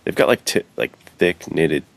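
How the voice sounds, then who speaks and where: A man with a remarkably deep voice and a gravelly edge co-hosts a podcast. He speaks casually and conversationally, using everyday slang. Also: animated, moderate pace. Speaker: podcast co-host.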